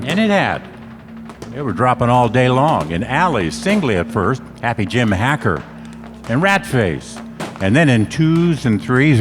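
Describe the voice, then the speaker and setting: Male actor, hard-boiled wry detective, 1940s radio drama, lively swooping delivery, reverberant stage hall. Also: medium pitch. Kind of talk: 1940s radio detective acting, first-person narration.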